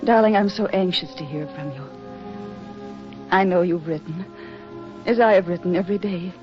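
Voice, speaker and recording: A woman's voice: lowish voice, normal speed, natural voice. An actress playing an anguished, lovelorn young woman in a 1940s radio drama, with an earnest, emotional and melodramatic delivery. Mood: tender longing. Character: lovelorn, anguished young woman awaiting her soldier sweetheart. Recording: muffled vintage radio recording with music.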